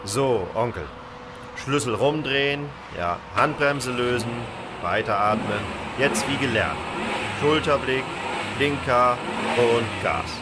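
Actor as pushy man coaching over loud noise. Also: mid-range voice, slow pace, lightly acted. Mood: instructing. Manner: clipped step-by-step commands. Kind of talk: radio play acting. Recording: loud vehicle noise around the voice.

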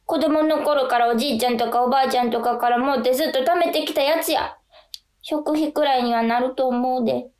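An actress playing an earnest, caring young daughter who is wise with money, with a Kansai accent. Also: female voice, high-pitched, a little fast, darkish tone, close to an everyday voice. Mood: earnest, pleading. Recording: echoing, with clear music behind.

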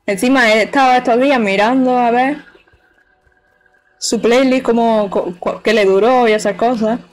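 A woman's voice: a little high, fairly even intonation, normal speed, faint music behind, low-quality recording. Chatty woman streaming a game. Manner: chatty, playful, talks to chat and the game. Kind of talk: casual live gameplay commentary.

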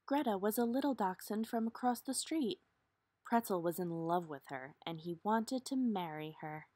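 A woman's voice: medium pitch, expressive, moderate pace, mellow, dry recording. A woman reading a children's storybook aloud expressively, with dramatic emphasis on key words. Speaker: storybook reader.

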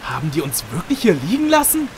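A man's voice: high voice, wildly swooping pitch, fast. An actor playing a whiny, indignant wounded gunman in a comic radio play. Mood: bewildered. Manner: whiny, grumbling. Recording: faint rain noise behind voice.

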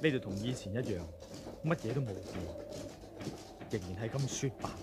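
A man's voice: medium pitch, a little fast, vocal fry, lightly acted. A young man with a Hong Kong Cantonese accent playing an earnest, kind young hero in a radio drama. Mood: gentle. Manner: earnest, plain-spoken. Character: plain-spoken young male hero, weary and kind. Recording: old radio drama, loud crowd and noise.

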